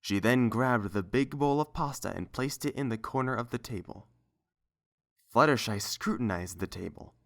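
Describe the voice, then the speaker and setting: A narrator reading a scripted story aloud as an audiobook, in a measured storytelling manner. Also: medium pitch, lively intonation, a bit bright. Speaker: narrator and reader.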